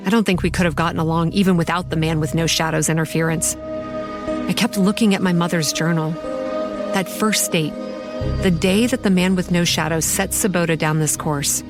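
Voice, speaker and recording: A woman's voice: fairly low pitch, fairly even intonation, fairly quick, music in the background, close to an everyday voice. A woman playing a haunted, sardonic young campground manager, telling her horror story in a confiding, wry first person. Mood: brooding.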